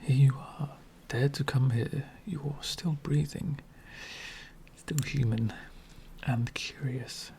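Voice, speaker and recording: A man playing an elegant, centuries-old vampire host in an ASMR roleplay, lonely, wry and courtly, soft-spoken with frequent whispers and gentle condescension. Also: medium pitch, steady tone, slow pace, slight background noise. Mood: sly welcome. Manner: soft-spoken, often dropping into breathy whispers.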